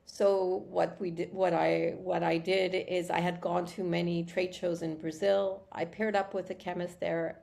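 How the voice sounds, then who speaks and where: A woman from Morocco speaks as a guest on an interview show. Her voice sits fairly low. She tells her story in a chatty way and often breaks off to restart a sentence. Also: vocal fry, darkish tone. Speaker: keratin product founder, guest. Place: Montreal.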